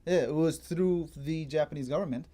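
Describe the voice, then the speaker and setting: Mellow-voiced man explaining history casually. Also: fairly high pitch, a little fast. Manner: thinking out loud, digressive, informal. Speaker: guest martial arts enthusiast explaining history.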